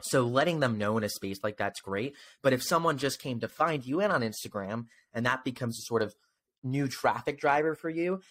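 A man in his twenties with a medium-pitched voice talks as a podcast host. He is conversational and earnest, with an encouraging way of putting things, speaking close to a desk mic in a room at home. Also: animated, fairly quick, fairly bright tone. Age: 20s. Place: small room at home, indoors. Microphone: close desk microphone.

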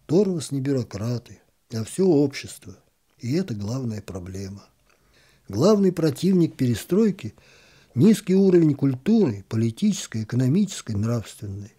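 Narrator guy doing an earnest naive clerk from a story, mellow and husky, explaining things. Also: mid-range voice, sing-song, moderate pace. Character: earnest, naive Soviet man, a minor office worker.

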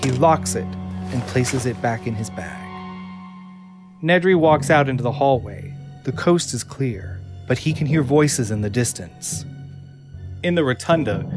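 A man reading scripted narration for an audio drama. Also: mid-range voice. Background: music.

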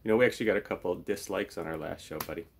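A man's voice: low voice, rapid-fire, creaky, slight background noise. A man in his thirties hosting a puppet show with dry, teasing, casual banter, in a room at home. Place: small room at home, indoors.

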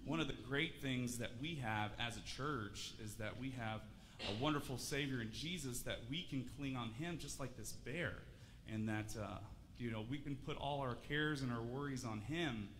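A man's voice: medium pitch, normal speed. A man in his thirties giving a children's sermon in a church sanctuary, talking in a friendly way, asking questions and restarting often. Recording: church hall reverb, faint room noise.

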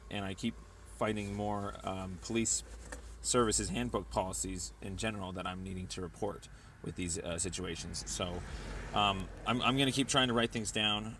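A man leaving a rambling voicemail, speaking in a hesitant, halting but insistent way, on a recorded phone call. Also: on the low side, a bit bright, a little hiss or hum.

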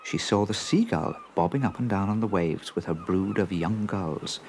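Low, creaky-voiced male storyteller. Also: normal speed, a little mellow, a little hiss or hum. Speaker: story narrator. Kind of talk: expressive children's story narration.

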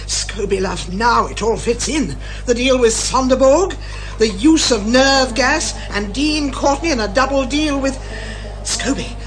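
Bossy british lady detective, high animated voice, old school radio comedy, quick witted and theatrical. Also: soft background music, poor audio. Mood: excited. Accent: British English. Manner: brisk, theatrical, old-fashioned turns of phrase. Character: brisk, bossy, sharp-witted amateur woman detective. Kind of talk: 1980s British radio comedy drama acting.

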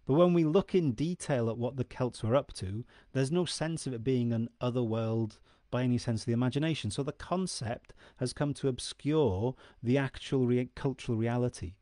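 A man's voice: mid-range voice, moderate pace, few pauses, warm tone, dry recording, clean studio sound. A man in his forties whose first language is Welsh, explaining Celtic myth to camera in an earnest way and often checking in with the listener. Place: dark room indoors. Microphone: studio mic on stand close in front.

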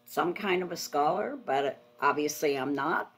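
Older female host, Hungarian native, low register, thoughtful pace, webcam audio from home. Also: measured pace, breathy edge. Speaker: interviewer. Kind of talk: video call interview. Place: home living room. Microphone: laptop webcam video call.

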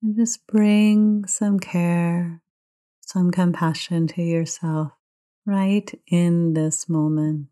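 Slow, mellow woman guiding meditation. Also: fairly low pitch, fairly even intonation. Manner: gentle, soothing, unhurried. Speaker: meditation teacher. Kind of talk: guided meditation.

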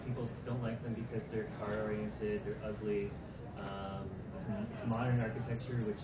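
A man speaks at a panel under an outdoor festival tent, heard from across the crowd. He talks loosely, circling back with fillers and restarts. The recording is echoey, muffled and noisy. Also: fairly low pitch. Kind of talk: panel discussion answer. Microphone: stand mic, filmed from the audience. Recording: echoey, distant, dull, noisy.

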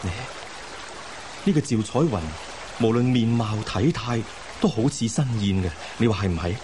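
An actor playing a remorseful, lovesick scholar-official of old China, earnest and sighing, in a Cantonese radio drama. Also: male voice, lowish voice, lively intonation, moderate pace, noisy background, close to an everyday voice. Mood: troubled, earnest.

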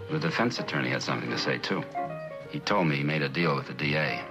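A middle-aged actor playing a weary, plain-spoken loyal friend in a 1960s television drama, laconic and understated. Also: male voice, a bass voice, lively intonation, fast talker, lo-fi sound, over music. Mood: subdued, wry.

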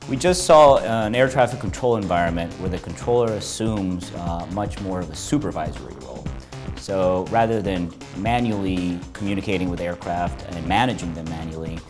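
Male researcher, 30s, lowish voice, halting explanatory delivery. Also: over music. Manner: measured, with fillers and restarts. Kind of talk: explaining to camera. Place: dark studio with display screens.

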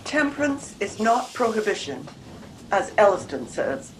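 A woman's voice: medium pitch, big pitch swings, unhurried, low-quality recording. An older woman acting a troubled, independent-minded married lady of the Edwardian era in a radio mystery, with formal, careful diction. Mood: prim, wry. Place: room at home, video call. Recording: video call with background noise.

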